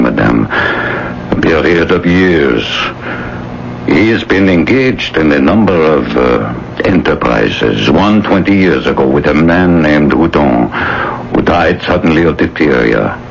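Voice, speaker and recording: An actor playing a grave, courteous police officer in a radio drama, speaking formally and deliberately. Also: male voice, very deep voice, animated, vocal fry, full character voice. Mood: grave, apologetic. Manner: formal, deliberate, politely apologetic. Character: grave, courteous male police officer delivering grim news. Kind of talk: 1980s radio drama acting. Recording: dull, noisy old radio broadcast.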